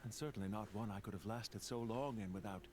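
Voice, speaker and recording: An actor playing a shrewd, proud banker villain in a video game, formal and precise with a sardonic edge. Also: male voice, lowish voice, fairly quick, background noise, lightly acted. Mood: proud, businesslike. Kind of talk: scripted video game dialogue.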